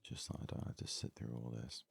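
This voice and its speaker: A man giving casual ASMR let's play commentary in a very soft, hushed, breathy voice, murmuring his thoughts aloud without hurry. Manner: unhurried, murmured thinking aloud. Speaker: gaming video host.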